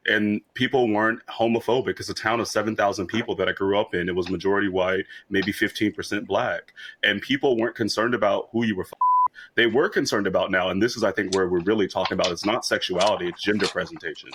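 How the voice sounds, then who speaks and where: A man's voice: on the low side, steady tone, fairly bright tone, slight background noise, poor audio. A man from rural Kansas arguing his points in a casual panel discussion. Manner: argumentative, rapid and emphatic.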